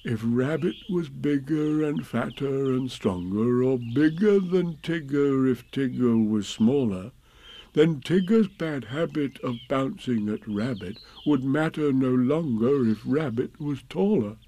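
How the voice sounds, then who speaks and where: A man voicing a gentle, slow-witted, dreamy toy bear in a children's storybook, with a vague, drawn-out delivery. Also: fairly high pitch, animated, pitched above the performer's own voice. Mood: musing. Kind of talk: children's storybook character reading.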